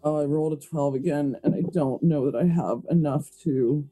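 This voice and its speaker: A woman's voice: low voice, moderate pace, warm tone, husky, soft background music, poor audio. A woman playing a tabletop roleplaying game, improvising her character's scenes and chatting casually at the table.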